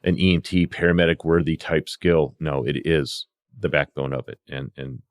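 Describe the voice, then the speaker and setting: A man's voice: very deep voice, little pitch movement, normal speed, dry recording, studio quality. A man working as a paramedic and instructor, telling anecdotes conversationally and acting out the dialogue. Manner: acts out exchanges with quoted dialogue. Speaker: paramedic and CPR instructor telling field stories. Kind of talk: animated anecdotal storytelling in conversation.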